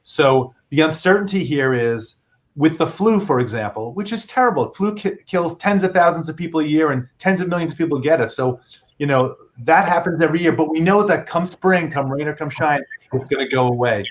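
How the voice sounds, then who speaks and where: A man's voice: on the high side, lively intonation, a little fast. Earnest explaining doctor, a man. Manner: earnest, explains patiently with examples. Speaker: physician guest. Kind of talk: explanatory talk on a video call.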